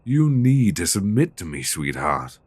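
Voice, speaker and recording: A man's voice: sits low, big pitch swings, unhurried, a little mellow, no echo, heavily acted. A man with a British accent playing a stern, weary but devoted dominant boyfriend in an intimate, emotionally intense audio roleplay, close on a binaural mic. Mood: tender, worried. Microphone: close binaural microphone.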